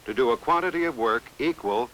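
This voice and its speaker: Medium-pitched man, instructional film narrator. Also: lively intonation, brisk, fairly bright tone, a little hiss or hum, poor audio. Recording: vintage 16mm film soundtrack. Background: music.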